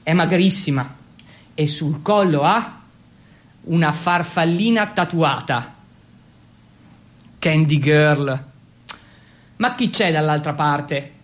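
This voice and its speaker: A man's voice: on the high side, slow pace, pauses often. A male stage actor delivers a dramatic monologue in the role of a teenage exchange student. His telling is theatrical and direct to the listener, dwelling on each item in his lists with relish. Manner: theatrical, listing things with relish. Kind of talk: dramatic monologue in the second person.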